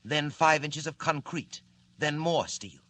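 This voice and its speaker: An actor playing a smug, menacing gang boss in a 1940s radio serial, taunting with mock courtesy in articulate speech. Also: male voice, a little high, unhurried, bright, forceful tone. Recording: old radio recording with hiss and hum.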